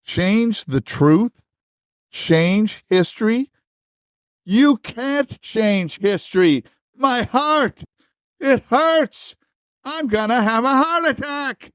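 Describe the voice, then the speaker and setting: A man playing an anxious, theatrical history book puppet in a stage puppet musical, with melodramatic, drawn-out delivery. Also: extremely high pitch, expressive, fairly bright tone, smooth, no room sound, clean studio sound, full character voice. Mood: anguished, melodramatic. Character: dramatic, anxious old-fashioned history book puppet, male.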